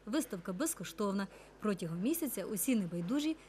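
A woman's voice: medium pitch, lively intonation, quick pace, dark tone, slight background noise. A woman reporter reading scripted narration for a television news report.

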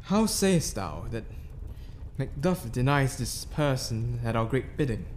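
A tormented king of Shakespearean tragedy, acted by a man, with a medium-pitched voice. He is boastful and terrified, haunted by guilt and theatrical in delivery. The recording carries clear noise. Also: sing-song, a little slow, darkish tone. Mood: brooding. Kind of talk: dramatic reading of Shakespearean tragedy. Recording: noisy amateur recording.

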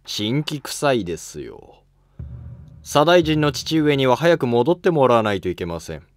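A man's voice: medium pitch, very animated, moderate pace, dry recording, clean studio sound, pitched above the performer's own voice, natural voice. A man voicing an ambitious young nobleman son in a historical drama, polite but cutting, self-assured and defiant. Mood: impatient. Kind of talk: amateur historical drama dialogue.

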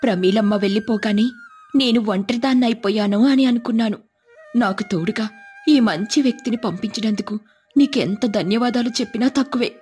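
A woman voices a gentle-hearted, trusting cow in a cartoon devotional fable. The voice is fairly high, earnest and expressive, and music plays softly behind it. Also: fairly quick, close to an everyday voice. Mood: grateful. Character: innocent, devout, trusting pregnant female cow. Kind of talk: acted moral-story cartoon dialogue.

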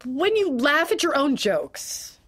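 A woman's voice: high voice, sing-song, takes it slowly, a bit bright, exaggerated voice. An actress playing an anxious wife who pleads with her husband in an audio drama. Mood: awkward, candid. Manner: emotional, pleading, nervous laughs. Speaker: actor.

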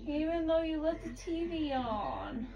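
A woman improvising a tipsy girlfriend roleplay in ASMR style, with a halting, drawn-out delivery. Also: high voice, sing-song, dark tone, muffled recording. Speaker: amateur roleplay performer. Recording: noisy home recording with clicks.

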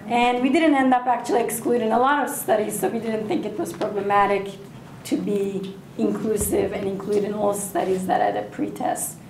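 A woman's voice: medium pitch, steady tone, normal speed, noisy background. A young woman presenter patiently explaining her research methods to an audience. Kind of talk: academic Q&A answer. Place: seminar room, indoors. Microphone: far camera. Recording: echoey room.

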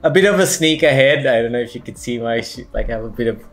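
A man's voice: mid-range voice, animated, quick pace, fairly bright tone. A young man from Sri Lanka hosting a podcast interview, talking in a casual, hesitant way with many fillers and restarts, and laughing easily. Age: young adult. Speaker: podcast host.

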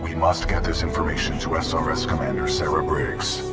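A man playing a giant military robot, loyal, calm and logical, speaking formally in clipped technical statements in a video game. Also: very deep voice, brisk. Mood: calm, alert. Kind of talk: scripted video game dialogue. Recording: loud game music behind.

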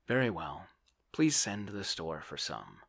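A man's voice: low-pitched, huge pitch swings, measured pace, vocal fry, exaggerated voice. A man voicing a fretful, indolent, helpless landowner in an audiobook, plaintive and dithering as he protests. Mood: absent, resigned. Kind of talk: audiobook character voice.

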